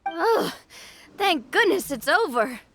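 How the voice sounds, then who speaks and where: High, lively young adventurer. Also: female voice, slow, slight background noise, heavily acted. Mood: relieved. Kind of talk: anime game voice acting.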